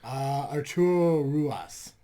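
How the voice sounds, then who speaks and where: A man's voice: fairly high pitch, slow pace, mellow. A man co-hosting a podcast in casual chat, reading names off a list and laughing easily. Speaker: podcast co-host.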